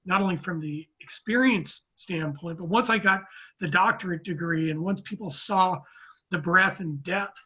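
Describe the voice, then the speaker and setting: A man in his fifties from Oakland, a guest telling his story in a rambling, measured way with many restarts. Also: high voice, sharp tone, husky, lo-fi sound. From Oakland, California, US. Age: 50s. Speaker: guest, real estate economist. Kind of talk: casual interview talk.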